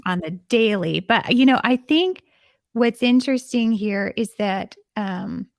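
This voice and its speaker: A woman hosts a live conversation, warmly affirming and agreeing with her guest often. She has a gravelly, fry-edged voice. The sound is dry and close. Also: medium pitch, animated, unhurried, dry recording. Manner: affirming, lots of agreeing interjections. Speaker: host. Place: live event stage.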